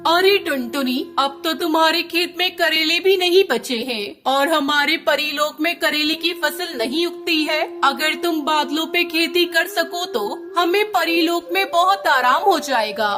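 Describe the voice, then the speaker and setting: A woman's voice: very high-pitched, a little slow, hardly any pauses, sharp tone, full character voice. A woman voicing a kind, polite fairy in a children's cartoon, speaking persuasively. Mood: kindly persuasive. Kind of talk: Hindi children's cartoon story acting.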